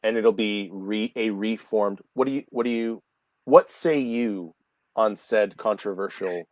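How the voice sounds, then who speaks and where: Canadian guy hosting a video call, kinda low voice, dry sound, trips over his words a lot. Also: unhurried, no room sound. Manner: animated, restarts words often, repeats phrases. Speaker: host. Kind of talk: casual video call chat. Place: office room, indoors. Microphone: video call webcam.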